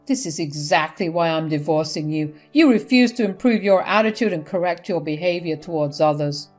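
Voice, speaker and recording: A woman's voice: fairly low pitch, moderate pace, darkish tone, natural voice. A woman voicing a stern middle-aged husband who reproaches his wife, over faint music.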